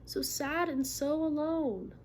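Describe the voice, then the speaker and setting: A woman reading a picture book aloud as a teacher, expressively, pausing to ask questions and confirm answers. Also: high voice, darkish tone. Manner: instructive, checking in with listeners by questions and affirmations. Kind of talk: interactive children's read-aloud, expressive storybook narration with questions. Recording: noticeable background noise.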